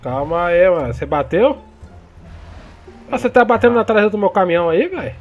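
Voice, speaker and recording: Excitable Brazilian man gaming. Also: sits high, brisk, lo-fi sound, soft background music. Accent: Brazilian Portuguese. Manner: excitable, joking, chatty slang. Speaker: gaming YouTuber. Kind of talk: casual gameplay commentary.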